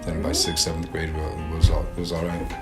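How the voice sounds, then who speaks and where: A man giving casual, halting recollections as an interviewee. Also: rumbling low, monotone. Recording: music under the voice. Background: music.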